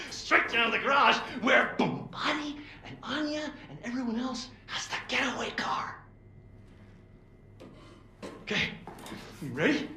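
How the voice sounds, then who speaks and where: A wired, foul-mouthed rebel posing as a lawyer in a game cutscene. His pitch swings wildly and the tone is bright and sharp, in a roomy, echoing space. He rattles on in animated street slang. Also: gravelly, heavily acted. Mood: scheming, excited. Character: fast-talking sweary rebel posing as a lawyer, wild and wired. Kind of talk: video game cutscene acting. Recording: roomy echo.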